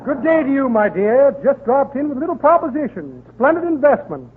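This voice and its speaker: A man's voice: very high-pitched, big pitch swings, brisk. An actor playing a pompous, florid con-man promoter in 1930s radio comedy, with flowery salesman's speech. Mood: grandly ingratiating. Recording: tinny, band-limited 1930s radio recording.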